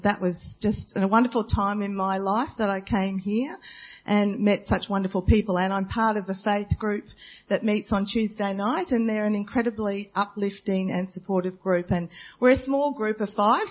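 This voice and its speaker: A middle-aged woman with a naturally loud voice, speaking into a handheld mic at church about her welcome there. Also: mid-range voice. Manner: loud-voiced, warm, giggly and flustered. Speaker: church member. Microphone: handheld microphone. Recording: dull lo-fi church recording.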